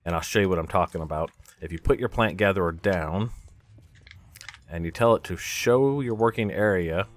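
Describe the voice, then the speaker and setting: Low male voice, casual let's-play commentary, gaming host, thinking aloud, step-by-step self-talk. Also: moderate pace. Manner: thinking aloud, talking himself through steps.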